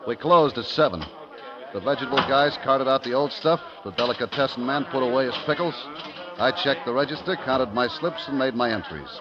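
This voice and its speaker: A man's voice: mid-range voice, fairly quick, crowd noise, close to an everyday voice. An actor playing a nervous market clerk who tells his own story in a hesitant, colloquial, brooding way in a 1950s radio thriller. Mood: brooding recollection. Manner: hesitant, colloquial, brooding first-person telling. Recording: muffled 1950s radio recording, loud music.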